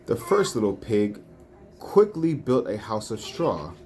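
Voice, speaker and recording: A woman reading children's storybooks aloud in a deliberate, phrase-by-phrase way. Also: extremely low pitch, sing-song. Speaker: storybook reader. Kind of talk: children's read-along narration. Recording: faint background noise, home recording.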